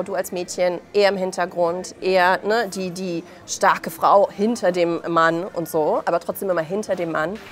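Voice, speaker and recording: A woman in her thirties from Belarus speaking as an interview guest in an impassioned, colloquial way, often acting out quoted remarks. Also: on the low side. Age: 30s. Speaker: interview guest, author and journalist. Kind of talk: impassioned spoken interview answers.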